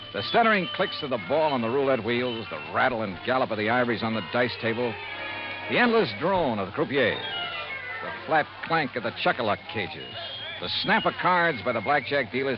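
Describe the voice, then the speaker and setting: An actor playing a brisk, wry insurance investigator in a 1950s radio detective drama, clipped and dramatic. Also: male voice, medium pitch, close to an everyday voice. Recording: dull vintage radio recording with music.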